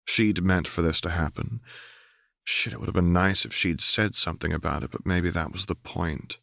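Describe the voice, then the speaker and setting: A man's voice: deep voice, normal speed, vocal fry, fairly bright tone, no room sound, studio quality. A man reading scripted fiction aloud as an audiobook narrator.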